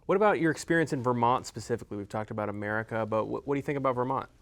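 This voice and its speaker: Male studio host, fast talker, chill questions, dry close sound. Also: mid-range voice, no echo. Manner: brief backchannel, easygoing questions. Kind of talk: interview.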